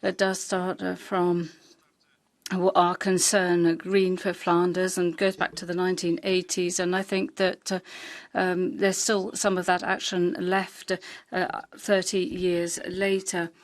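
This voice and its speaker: A woman interpreting a parliamentary speech live, halting, with many filler sounds and restarts. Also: lowish voice, flat delivery. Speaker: simultaneous interpreter.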